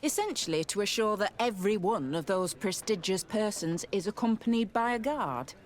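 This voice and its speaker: A woman's voice: mid-range voice, lively intonation, moderate pace, close to an everyday voice. A woman playing a courteous, dutiful officer of the guard in a video game. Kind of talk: video game dialogue acting.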